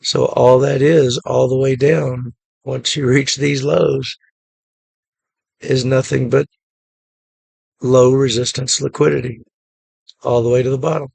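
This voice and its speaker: Man, hoarse from a cough, heavy vocal fry, close dry sound, relaxed matter-of-fact recap. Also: medium pitch, a little slow, long pauses, no room sound. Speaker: day trading channel host. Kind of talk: casual screen-share trade recap.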